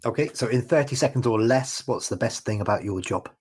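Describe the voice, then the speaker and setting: A man's voice: medium pitch, fairly quick, over music. A man hosting a podcast, asking questions in a jovial, chatty way that wanders a little. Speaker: podcast host. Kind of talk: casual interview chat.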